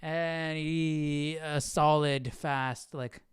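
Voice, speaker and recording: Casual man with clear, dry voice. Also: fairly high pitch, little pitch movement, extremely slow, dry recording. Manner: informal, thinking aloud. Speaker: livestream host. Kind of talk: casual chat, commenting on a Bible reading.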